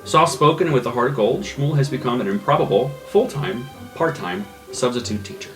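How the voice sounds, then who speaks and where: A man's voice: medium pitch, animated, a little fast, gravelly. Man reading character notes over guitar music. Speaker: tabletop game player. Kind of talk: reading a written character blurb. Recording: background guitar music under the voice.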